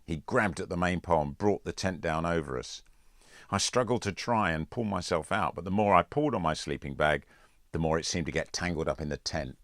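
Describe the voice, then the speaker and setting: A man's voice: low-pitched, fairly quick, fairly bright tone, no room sound, clean studio sound. A man reading a scripted first-person story as an audiobook narrator. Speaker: author reading own audiobook.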